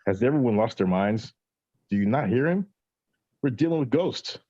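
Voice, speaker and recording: A man's voice: mid-range voice, lively intonation, normal speed, dark tone, no room sound, highs cut off, close to an everyday voice. A man playing a skeptical, rational young man in a comic-book table read. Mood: exasperated.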